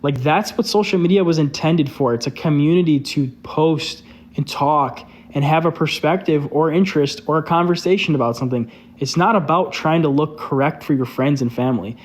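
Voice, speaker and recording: A man's voice: a little high, fairly quick. Chatty young man ranting on a live stream. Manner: loose and candid, heavy use of "like". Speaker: social media creator hosting a live stream. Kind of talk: casual rambling live-stream chat.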